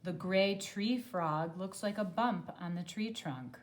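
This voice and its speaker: A woman with a slightly low voice reads children's nonfiction aloud as a teacher would. Her pace is very slow and deliberate, with each phrase set out clearly.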